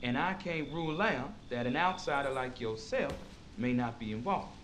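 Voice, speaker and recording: An actor playing a skeptical sheriff's deputy in a horror video game, patronising and authoritative. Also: male voice, mid-range voice, expressive, normal speed, fairly bright tone, slight background noise, natural voice. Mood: condescending, wary. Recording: faint background ambience.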